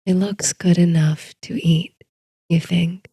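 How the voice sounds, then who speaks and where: A woman narrating a guided sleep story in a soothing, hushed, unhurried way. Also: on the low side, fairly even intonation, slightly rough, dry recording, studio quality.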